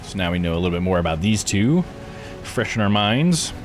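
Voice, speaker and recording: A man's voice: lowish voice, sing-song, a little fast. A man live-streaming a visual novel, reading its story aloud and chatting casually with viewers. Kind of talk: visual novel playthrough, narration and casual chat. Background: game music.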